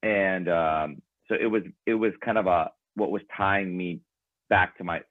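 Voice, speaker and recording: A very low-voiced man speaks in a relaxed, rambling way, with plenty of fillers. His first language is Ukrainian. The sound is thin and phone-like, close and dry. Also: unhurried, no room sound. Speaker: band member guest. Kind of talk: casual interview conversation. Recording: tinny phone-like line.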